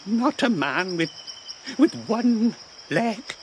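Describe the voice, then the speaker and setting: A man's voice: high voice, animated, slow pace, a little hiss or hum. A man playing a ragged, half-crazed old marooned sailor in an audio drama, rambling and wheedling in rustic pirate dialect. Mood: anxious. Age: older.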